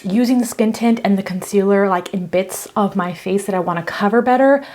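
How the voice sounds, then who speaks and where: A woman in her thirties talks through her makeup in an easygoing, conversational way. Her voice sits at an ordinary middle pitch, and her delivery is loose and breezy, full of fillers and little asides. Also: fairly quick, a little mellow. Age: 30s. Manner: chatty, filler-heavy, breezy. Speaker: beauty vlogger. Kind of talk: casual product review.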